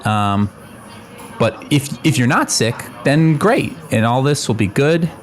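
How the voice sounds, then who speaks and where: A man improvising a radio-play role as a concerned, awkward office colleague, reasoning tactfully and haltingly. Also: medium pitch, lively intonation, faint music behind.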